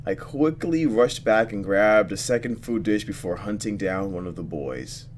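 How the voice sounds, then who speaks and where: Low-voiced man doing game commentary. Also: normal speed, noisy background. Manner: expressive, thinking out loud. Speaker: let's player reacting to a visual novel. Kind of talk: gaming commentary mixed with reading game text aloud.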